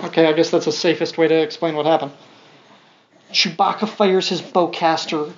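A man reading a children's storybook aloud to his young son, with playful, wry side comments. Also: high-pitched. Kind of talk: read-along children's storybook narration with casual asides. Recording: dull lo-fi sound, faint background noise.

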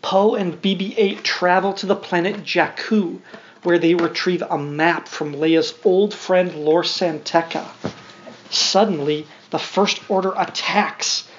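A woman's voice: on the low side, steady tone, takes it slowly. A woman reading a storybook aloud to a young child, as a parent would, with simple asides and questions. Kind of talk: read-along storybook narration with spontaneous asides to a child. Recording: dull home recording with faint noise.

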